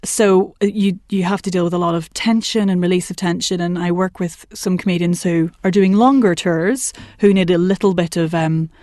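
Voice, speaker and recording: A woman working as a voice and accent coach, talking in a chatty, animated way in a podcast interview. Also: fairly low pitch, normal speed, few pauses, no room sound, studio quality. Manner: chatty and animated, laughs often, puts on voices for anecdotes. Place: work commute between Manchester and Liverpool.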